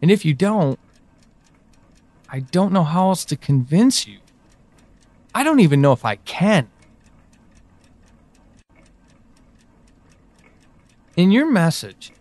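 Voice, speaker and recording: An actor playing an anguished, pleading, devoted man in an audio drama monologue, speaking haltingly and emotionally. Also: a little high, very animated, a little mellow, no echo. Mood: pleading, frustrated. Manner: halting, hesitant, emotional, with sighs and pauses.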